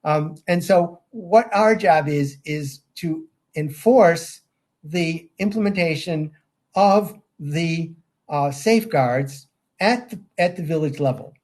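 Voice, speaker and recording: Older guy on a call, fairly high voice for a man, lots of ums, measured pace. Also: darkish tone. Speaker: guest, safeguards auditor. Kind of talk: interview answers.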